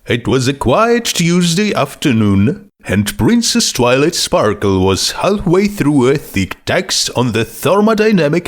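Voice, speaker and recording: Male narrator, scripted story reading, unhurried pace, animated intonation, medium pitch. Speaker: narrator of fan fiction.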